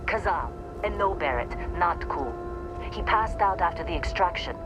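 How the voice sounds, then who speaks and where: An actress playing a blunt, world-weary mining supervisor in a video game, curt and businesslike. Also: female voice, lowish voice, expressive, moderate pace, over music, natural voice, rough recording.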